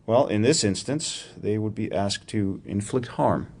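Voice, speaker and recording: An actor playing a wry, probing academic research professor in a radio drama, with a dryly sardonic, precise way of talking. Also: male voice, lowish voice, measured pace, darkish tone, a little hiss or hum. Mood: serious, exacting. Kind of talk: radio theatre acting, dramatic dialogue.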